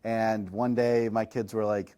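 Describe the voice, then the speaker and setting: Laid-back guy, lowish voice, chatty podcast guest, pokes fun at himself. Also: a little slow, smooth. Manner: relaxed, self-deprecating, chatty with fillers. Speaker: chiropractor and massage chair reviewer. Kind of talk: casual podcast interview answers.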